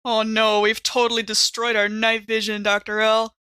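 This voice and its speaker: Female RPG player, crisp and bright, casual chat at the table, close dry sound. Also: a little high, monotone, normal speed, no room sound.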